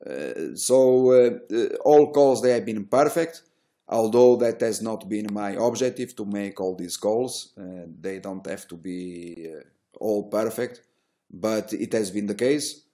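A middle-aged man who is not a native English speaker, giving unscripted market commentary in halting fragments with drawn-out hesitations and a self-assured manner. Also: lowish voice, mellow. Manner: self-assured, boastful about results. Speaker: stock trading educator and host. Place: small indoor room.

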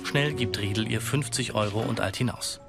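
A man reading scripted audio description in a neutral, measured manner. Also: fairly low pitch, vocal fry, over loud music. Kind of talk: scripted present-tense scene narration.

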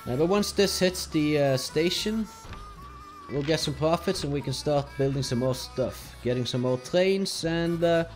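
A man's voice: a little high, measured pace, faint music behind. A young man from Norway with a Norwegian accent, speaking English as a second language while casually commenting on his gameplay step by step. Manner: relaxed, explaining step by step with fillers. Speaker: let's play host.

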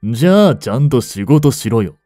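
A man's voice: medium pitch, very animated, moderate pace, warm tone, dry recording, soft background music. An actor playing a blunt, bossy vampire older brother in a Japanese romance voice drama, scolding as he holds back his hunger. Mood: irritated, scolding. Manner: blunt, rough-spoken, bossy.

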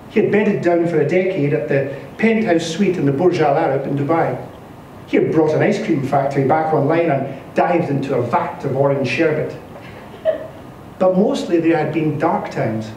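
A man in his thirties reading a literary story aloud with expressive, dramatic delivery. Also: on the high side. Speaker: story reader at a live literary event. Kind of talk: scripted literary narration read aloud. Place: bare-walled indoor venue, live reading. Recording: echoey room from a distance, faint noise.

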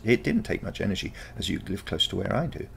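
A man voicing a calm, confident teenage granddaughter from the future, matter-of-fact and explanatory, in a storybook. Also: sits low, quick pace, vocal fry, slight background noise, pitched above the performer's own voice, exaggerated voice. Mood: unconcerned. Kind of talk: storybook character dialogue.

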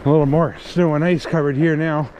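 A man casually narrating his fat bike ride outdoors, thinking aloud in a relaxed, halting way. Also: fairly high pitch, noisy background. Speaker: vlogger riding a fat bike. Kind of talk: casual narration while riding. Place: outdoors on snowy wooded trails.

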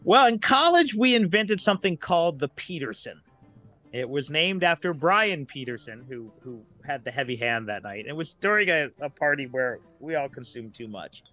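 Guy guest on a podcast, bright clear-cut voice, casual rambly chat. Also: on the high side, sing-song, normal speed, faint music behind.

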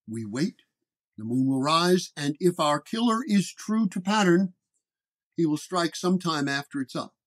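A man playing a calm, measured, wry paranormal detective in a horror story. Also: on the high side. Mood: confident, measured.

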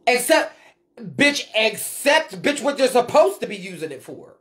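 High-pitched American woman, blunt live-stream commentator, swears freely and hammers points by repetition. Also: sing-song, unhurried, a bit bright. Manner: blunt, emphatic, heavy swearing, repeats phrases for emphasis. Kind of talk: unscripted live rant answering viewer comments.

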